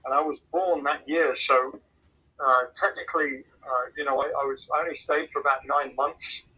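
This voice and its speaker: A man with an English accent, a missions pastor, answering interview questions in a measured way and often repeating words as he thinks. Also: fairly high pitch, steady tone, sharp tone, slightly rough, low-quality recording. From England. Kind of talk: casual phone interview answers.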